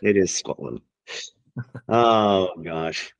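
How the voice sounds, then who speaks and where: Man, very low voice, rambling podcast guest who keeps restarting his sentences. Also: animated, drawn-out words, vocal fry, smooth, muffled recording. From Tennessee, USA. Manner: rambling, hesitant, with many restarts and fillers. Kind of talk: casual podcast chat.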